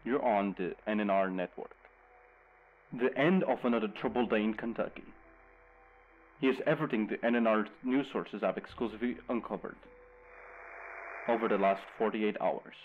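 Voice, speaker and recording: A grave radio news anchor, played by a man with a fairly low voice. He delivers scripted bulletins with measured urgency. The sound is slightly muffled, like an old radio broadcast. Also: slight background noise, lightly acted. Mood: grave, urgent.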